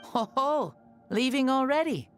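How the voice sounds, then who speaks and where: A man's voice: very high voice, measured pace, over loud music. A man playing a gracious, good-humoured ancient hero in a video game, with an eloquent, theatrical delivery. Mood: light, amused. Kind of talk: video game character acting.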